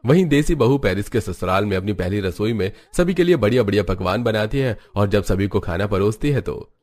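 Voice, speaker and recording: A man's voice: on the low side, expressive, a little fast, a little mellow. A man narrating a scripted cartoon family story.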